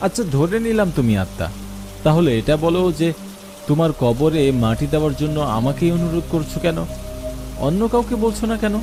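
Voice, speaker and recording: Man acting lively sceptical male narrator-hero, swooping pitch, baffled and questioning, horror cartoon, music and rain behind. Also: brisk. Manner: questioning, incredulous, expressive. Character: sceptical, baffled man narrating his ghostly night encounter. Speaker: narrator and lead character. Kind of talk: first-person horror cartoon storytelling.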